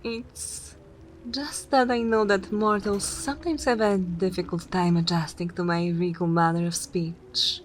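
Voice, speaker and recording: Woman playing a slow-speaking haughty vampire mistress. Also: fairly low pitch, fairly even intonation, a little hiss or hum, natural voice, rough recording. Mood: defensive, haughty. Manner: imperious, measured, breathy near-whispers. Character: haughty, regal older vampire mistress, centuries old. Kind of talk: ASMR roleplay acting.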